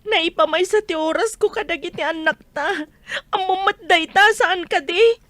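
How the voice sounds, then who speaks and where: A pleading, wronged wife in a radio drama. Her voice sits extremely high and sounds dry and close. She draws her words out plaintively, as a weary, tearful mother. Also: a bit bright, no echo. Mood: tearful, sorrowful. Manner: plaintive, drawn-out, tearful delivery.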